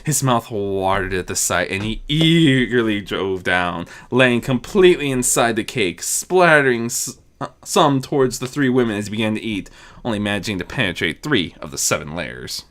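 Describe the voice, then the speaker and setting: A reader narrating a fan fiction story with expressive delivery. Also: mid-range voice, normal speed. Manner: expressive, wide-ranging delivery.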